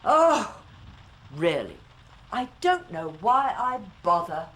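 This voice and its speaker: A woman's voice: medium pitch, sing-song, slow, fairly bright tone, a little hiss or hum. An actress playing a motherly, long-suffering Victorian housekeeper in a radio drama, fussy and scolding. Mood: exasperated. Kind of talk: period radio drama dialogue.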